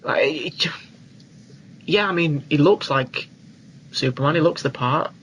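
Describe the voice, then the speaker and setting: Higher-voiced man, noisy recording. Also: a little slow, a bit bright. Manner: informal, uses slang. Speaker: podcast co-host. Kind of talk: casual film chat.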